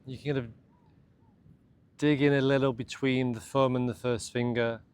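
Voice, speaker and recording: A man leads a guided morning meditation and self-massage in a gentle, unhurried, soothing way, speaking in short phrases. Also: medium pitch. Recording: clear steady background ambient noise.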